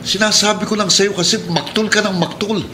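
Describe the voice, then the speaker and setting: A man's voice: sits high, fairly even intonation, fairly quick, pushed and bright, a little hiss or hum. An actor playing a kind, protective middle-aged Filipino policeman and adoptive father in a radio drama. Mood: exasperated. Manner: earnest, encouraging, heated when challenged.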